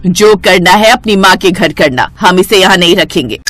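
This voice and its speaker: A woman's voice: lowish voice, moderate pace, fairly bright tone, soft background music. A woman playing a fickle, bluntly emotional older mother-in-law in a moral story. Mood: bitter, scolding.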